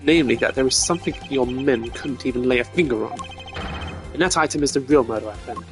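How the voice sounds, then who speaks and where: A man's voice: mid-range voice, natural voice. Man doing a composed, eloquent prosecutor over music. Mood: assured. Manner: formal, measured, confident. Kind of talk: dramatic video game character voicing.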